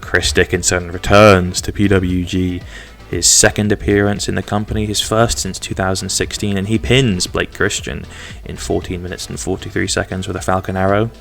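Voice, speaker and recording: Low gravelly-voiced man commenting on a game. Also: little pitch movement, normal speed, a bit bright, faint music behind. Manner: chatty, rambling, self-deprecating asides. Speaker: gaming video host.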